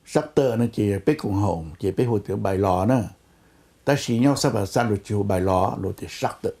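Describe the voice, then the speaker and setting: A man's voice: fairly low pitch, lively intonation, fairly quick, dark tone. A man speaking spontaneously in a discussion on a conference call.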